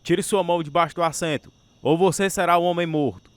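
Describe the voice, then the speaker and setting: A man's voice: fairly high pitch, brisk, noisy background, close to an everyday voice. A man acting as a stern, threatening policeman making an arrest in a radio drama. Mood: stern, commanding.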